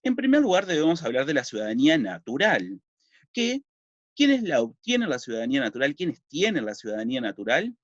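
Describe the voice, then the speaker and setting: A man's voice: mid-range voice, wildly swooping pitch, fairly quick, no room sound. A Uruguayan man teaching a recorded civics lesson, explaining step by step and asking and answering his own questions. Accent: Uruguayan Spanish. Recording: dull lo-fi dry recording.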